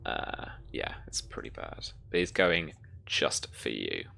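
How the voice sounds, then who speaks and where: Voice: extremely low pitch, big pitch swings, slow, gravelly, pushed and bright. A game master narrating a tabletop role-playing game in a conversational, descriptive way.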